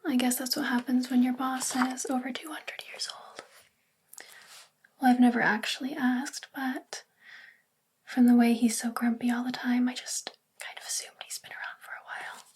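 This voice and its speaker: A woman playing a sweet witch librarian in an ASMR roleplay, speaking gently in a soft, breathy near-whisper. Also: high voice, flat delivery. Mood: gentle, chatty. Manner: gentle, unhurried, friendly. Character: sweet young female witch librarian in a magical town. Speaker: ASMR performer.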